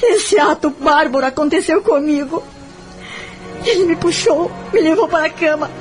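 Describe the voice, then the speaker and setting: A woman's voice: high voice, normal speed, soft background music, close to an everyday voice. A woman with a Brazilian accent acting a wounded young woman who confides her past in an audio novel. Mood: anguished. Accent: Brazilian Portuguese. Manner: sorrowful, confiding.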